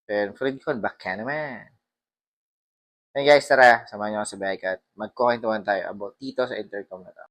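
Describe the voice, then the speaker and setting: A man with a fairly low voice talks in a casual vlog style. He reviews informally, rambling a little and pausing on hesitations as he mixes in English. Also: a bit bright. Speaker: motorcycle vlogger.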